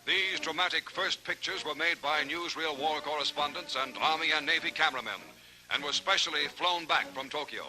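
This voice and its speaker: A man's voice: fairly high pitch, a little fast, rough recording. A man with an American accent narrating a 1940s newsreel in a dramatic, formal announcer style. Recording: vintage newsreel soundtrack with loud music. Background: music.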